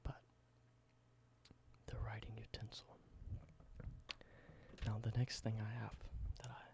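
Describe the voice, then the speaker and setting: A man in a whispered ASMR roleplay, softly explaining everyday objects slowly and simply. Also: lowish voice, monotone, gravelly. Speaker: ASMR creator.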